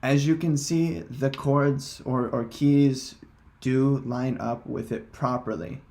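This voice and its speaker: A man presenting a casual software tutorial in hesitant, halting, unscripted phrasing. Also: medium pitch, steady tone, over music.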